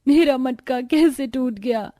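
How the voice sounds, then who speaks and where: A woman voicing a gentle, sentimental, forgiving daughter-in-law in a cartoon moral story. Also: medium pitch, fairly quick, no room sound, studio quality, close to an everyday voice. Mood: heartbroken.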